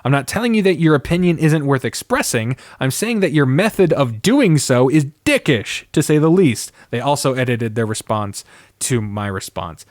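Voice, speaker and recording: A man's voice: mid-range voice, expressive, normal speed, no room sound, clean studio sound. A man hosting a podcast, talking in a casual, opinionated rant with an exasperated, sardonic manner and plenty of swearing. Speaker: podcast host.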